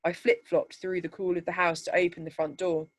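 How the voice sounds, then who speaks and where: Lower-pitched woman reading a story aloud. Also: level tone. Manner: measured, deliberate, descriptive reading. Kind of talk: scripted story narration.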